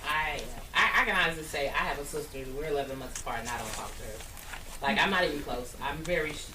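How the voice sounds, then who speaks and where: A woman's voice: low voice, noisy background. A woman guest speaking candidly about her own experiences in a small studio. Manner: candid, quick, guarded. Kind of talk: personal testimony in talk show. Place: small studio lounge, indoors. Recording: roomy, distant from mic.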